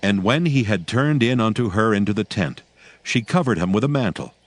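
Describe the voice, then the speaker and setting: A man narrating a dramatized scripture in archaic English, with solemn, measured storytelling. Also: on the low side, expressive.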